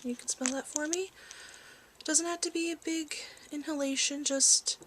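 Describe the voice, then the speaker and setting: A woman speaking softly and reassuringly in an ASMR roleplay as a doctor giving a check-up, often slipping into whispers. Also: high-pitched, steady tone, moderate pace, slight background noise. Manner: gentle, hushed, reassuring, dropping into whispers. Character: polite research lab doctor giving a check-up. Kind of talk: soft-spoken ASMR medical exam roleplay.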